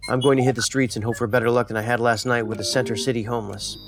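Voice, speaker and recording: Guy playing a dry witty fed agent, medium pitch, terse, matter of fact, faint music under. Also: level tone, very fast, natural voice. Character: dry-witted male federal agent, terse, independent, self-assured. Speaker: federal investigator. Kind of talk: audio drama acting.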